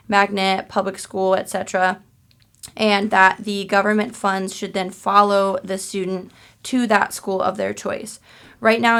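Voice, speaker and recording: A young woman hosts a podcast and reads her introduction from a script. Her voice is flat and gravelly with heavy vocal fry, and the delivery stays even throughout. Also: mid-range voice. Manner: even, read-aloud delivery. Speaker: podcast host.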